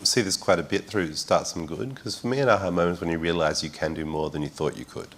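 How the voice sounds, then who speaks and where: Deep-voiced fast-talking man on a panel. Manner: thoughtful, repeats words while building a point. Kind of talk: panel discussion.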